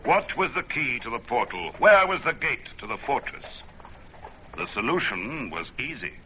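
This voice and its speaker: An actor as the solemn storyteller of an old radio adventure, narrating in emphatic, portentous phrases. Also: male voice, mid-range voice, big pitch swings, moderate pace, pushed and bright, slight background noise. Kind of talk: dramatic scripted radio narration.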